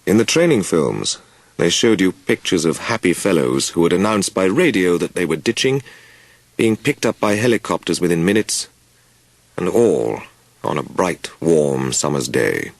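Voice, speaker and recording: Very deep male narrator, gravelly. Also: animated, normal speed, dry recording. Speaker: audiobook narrator. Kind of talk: scripted dramatic first-person story narration.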